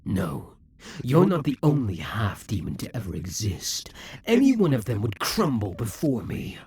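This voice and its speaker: A haughty demon king of the audio drama, ages old and bent on revenge, as a man acts him out. His voice is deeply husky and breathy, drawn out slowly, with a lively rise and fall that sinks into hissing whispers. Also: heavily acted. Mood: incredulous. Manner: slow, theatrical, hissing whispers at times. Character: proud vengeful male demon king, centuries old. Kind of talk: audio roleplay acting.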